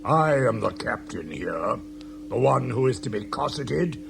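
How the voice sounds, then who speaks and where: An actor playing a pompous, self-pitying tyrant of a starship captain, slow, imperious and gravelly, in a 1980s radio science-fiction drama. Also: male voice, mid-range voice, very animated, background noise, exaggerated voice. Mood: self-pitying, commanding.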